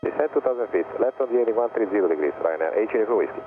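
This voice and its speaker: A man's voice: lowish voice, expressive, very fast. A male airline pilot reading back instructions in procedural phrases over an aircraft radio. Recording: tinny, noisy aircraft radio.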